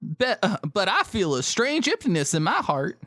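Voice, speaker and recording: A man's voice: sits high, sing-song, moderate pace, sharp tone, no room sound, clean studio sound, pitched above the performer's own voice, heavily acted. A man voicing a young female pirate as a melancholy Southern belle with an affected American Southern drawl. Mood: wistful.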